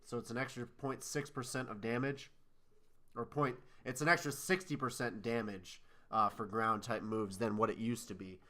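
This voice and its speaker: A man's voice: medium pitch, steady tone, moderate pace, pushed and bright. A man co-hosting a casual podcast chat, sounding nasal and congested from a cold, rambling and correcting himself as he talks. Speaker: podcast co-host.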